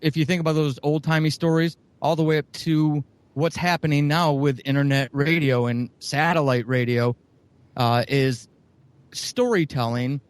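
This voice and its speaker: A man's voice: a little high, smooth, dry recording. A man who writes and produces audio dramas, answering interview questions in a rambling way with lots of fillers.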